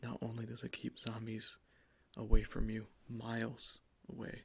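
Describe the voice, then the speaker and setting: A man doing an ASMR roleplay as a salesman, speaking softly and slipping into whispers, with a sales pitch in his delivery, close to the microphone. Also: completely flat delivery, normal speed, vocal fry, husky. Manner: soft-spoken, drifting between quiet talk and whisper. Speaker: ASMR creator playing a cologne salesman.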